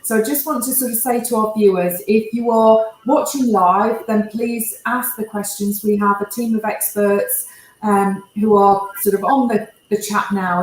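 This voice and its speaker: A woman hosts a live online broadcast in a fairly high voice. She talks in a chatty way, leaning on "sort of" as she links topics. The room around her is noticeably echoey. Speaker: host. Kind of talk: live stream hosting.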